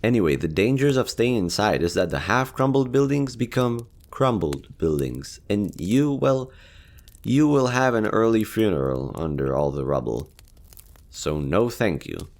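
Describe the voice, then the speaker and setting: A man's voice: lowish voice, sing-song, unhurried, creaky, a little mellow, full character voice. A man acting as a lonely, easygoing survivor of a nuclear apocalypse in an audio roleplay, talking casually and candidly with some hesitation. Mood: casual, upbeat.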